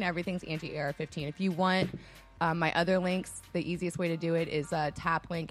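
A woman in her thirties, a guest who talks frankly and explains things at length in casual podcast chat. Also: on the low side. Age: 30s.

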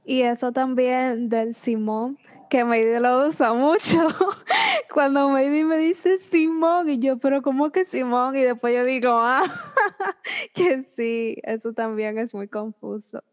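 A woman slowly recounting a confusing word in a casual group chat, amused, heard off mic. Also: high-pitched, animated, long reverb tail. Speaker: podcast guest, student.

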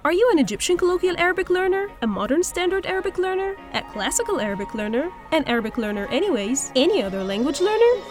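A woman's voice: very high voice, big pitch swings, fast talker, few pauses, faint music behind. A woman hosting a podcast, explaining language points in an instructive way with frequent fillers. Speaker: podcast host and Arabic teacher. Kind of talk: lecture-style podcast recap.

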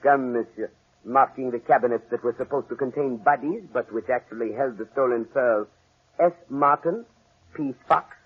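An actor playing a shrewd, courteous detective with a French accent and a precise, theatrical manner in a 1940s radio drama. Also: male voice, mid-range voice, moderate pace, breathy edge, lightly acted. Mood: shrewd, politely confident. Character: shrewd, polite French-speaking male detective. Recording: muffled, tinny old radio recording.

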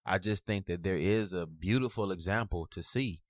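Male podcast host, low register, slangy and emphatic, dry close sound. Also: moderate pace, dry recording. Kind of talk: casual podcast conversation.

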